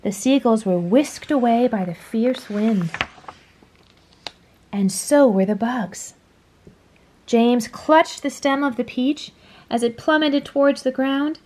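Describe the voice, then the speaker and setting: A woman reading a children's story aloud in a dramatic, deliberate storytelling manner. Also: on the high side, a little mellow. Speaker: storybook reader. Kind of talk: scripted children's story read-aloud, expressive.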